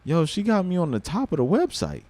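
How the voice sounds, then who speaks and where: A man in his thirties livestreaming, a rapper talking casually and swearing freely, mixing thoughtful musing with loud excitement. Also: a little high, a little fast, no echo. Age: 30s. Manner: reflective, then loud and excited with laughter and swearing.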